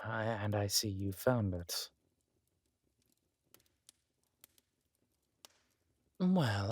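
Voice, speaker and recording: Man playing a slow, low-voiced villain king. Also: no echo. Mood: proud, musing. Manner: slow, measured, smugly theatrical, intimate. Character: smooth villain king, orphan-born, regal, wry and menacing. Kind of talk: scripted roleplay audio drama.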